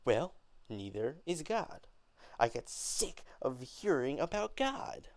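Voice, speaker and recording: A man voicing an angry, defiant young woman in an amateur dramatic play reading, with halting, emphatic delivery. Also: medium pitch, very animated, dry recording, voice pushed up. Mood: angry, fed up.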